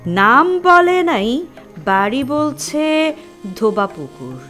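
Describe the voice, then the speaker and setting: Practical, insistent mother played by a woman, matter-of-fact scolding, high animated pitch, radio drama over faint music. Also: very slow, heavily acted. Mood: calm, chiding. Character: firm, practical ageing mother arranging her son's marriage. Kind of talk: Bengali audio drama acting.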